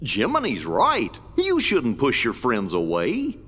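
A goofy cartoon dog. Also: male voice, mid-range voice, wildly swooping pitch, takes it slowly, fairly bright tone, breathy edge, big-room sound, exaggerated voice. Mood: friendly.

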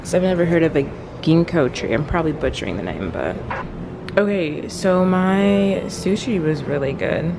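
A woman's voice: low-pitched, animated, moderate pace, background noise. A young woman hosting a food vlog, chatting to viewers while holding the camera at arm's length in a restaurant. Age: young adult. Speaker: vlog host. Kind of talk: casual food vlog chat. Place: indoor restaurant. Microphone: handheld camera at arm's length.

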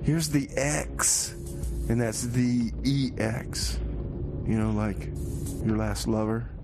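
A man's voice: medium pitch, steady tone, slow, music in the background. A man hosting a community radio show with casual, comedic DJ patter, rambling in a folksy way and repeating his phrases.

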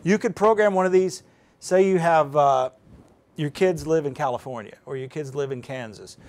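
A man's voice: on the high side, moderate pace, a little mellow. A middle-aged man presenting weather on TV, giving an informal live how-to and thinking aloud as he goes. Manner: informal, thinking aloud while handling a device. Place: TV studio. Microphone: lapel mic.

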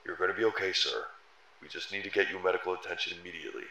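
An actor playing a loyal, earnest younger government agent in a horror drama, with a wry, hesitant manner. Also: male voice, deep voice, racing, bright, forceful tone. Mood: uneasy, earnest. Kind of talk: analog horror drama acting. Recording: echoey room with faint noise.

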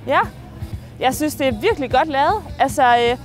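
A woman in her forties speaks in a high voice, enthusing about a race outdoors with plenty of small hesitations. Faint music plays behind her. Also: expressive, brisk. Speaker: race participant. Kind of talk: casual interview.

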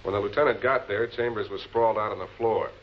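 Man acting American public prosecutor, low voice, composed, dry authority, 1940s TV crime drama, dull film sound. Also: steady tone, fast, natural voice. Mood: brisk, composed. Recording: muffled, noisy old 16 mm film soundtrack.

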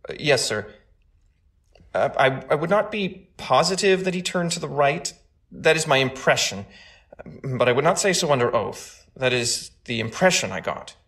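A man's voice: mid-range voice, lively intonation, fairly bright tone. A man playing a courteous older bank treasurer giving careful, formal testimony in a dramatic trial reading. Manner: formal, measured, cautious answers.

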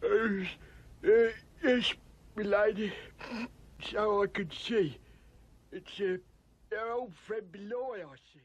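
Puppet-show butler, husky, very unhurried, humbly deferential. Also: male voice, high voice, full character voice. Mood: hesitant, deferential. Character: deferential, hesitant male butler.

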